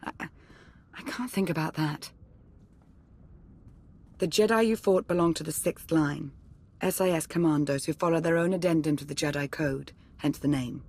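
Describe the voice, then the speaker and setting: An actress playing a serious, urgent Sith intelligence minister in a game, speaking in a formal, clipped, businesslike way. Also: female voice, low voice, normal speed, pauses often, a little mellow, breathy edge. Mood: grave.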